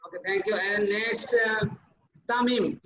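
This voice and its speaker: A male teacher, calling on students one by one in an online class, slow and halting with many fillers. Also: sits high, steady tone, pushed and bright, a little hiss or hum. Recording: echoey lo-fi call audio.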